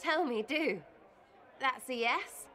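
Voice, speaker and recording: A woman voicing a brash, cheeky young elf rogue in a video game, with an English accent and a halting, slangy, playful delivery. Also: sits high, expressive, bright, forceful tone, some chatter behind, heavily acted. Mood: earnest, hopeful. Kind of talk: video game cutscene dialogue.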